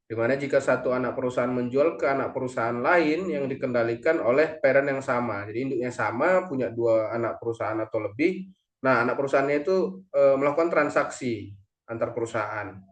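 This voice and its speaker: A man presents a lesson in a mid-pitched voice. He explains things step by step, now and then halting and correcting himself. The recording is echoey, with clear room reverberation. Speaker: accounting lecturer or presenter.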